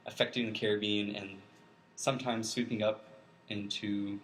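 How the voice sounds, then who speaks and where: A man's voice: fairly low pitch, level tone, moderate pace, a bit bright, slight background noise, poor audio. A man speaking as an expert guest, giving informal, plain-spoken explanations step by step in an interview.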